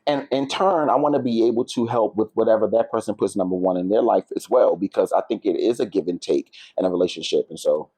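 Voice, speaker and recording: A man in his thirties with a fairly low voice, recorded dry and close. He talks casually and openly about himself as an interview guest. His delivery is reflective, dotted with small check-ins to the listener. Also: quick pace, few pauses, mellow, no room sound. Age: 30s. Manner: reflective, open, peppers talk with "like", "you know" and "right?". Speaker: podcast guest. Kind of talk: candid, casual personal conversation.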